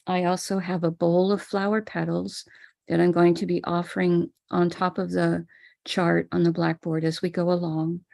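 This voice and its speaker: An American woman teaching a ritual on a live stream, calmly explaining each step. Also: lowish voice, gravelly. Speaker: spiritual teacher leading a ritual. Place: home room with a small altar table.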